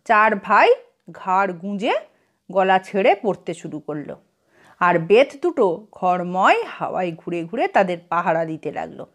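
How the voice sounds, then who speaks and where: A woman narrating a comic story in an expressive, dramatic storytelling manner. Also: mid-range voice. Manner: dramatic storytelling, acts out characters' lines.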